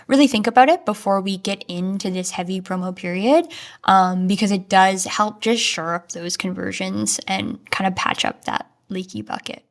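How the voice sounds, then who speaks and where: A woman hosting a solo marketing podcast, talking in an upbeat, chatty way with frequent casual fillers. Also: medium pitch. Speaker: podcast host.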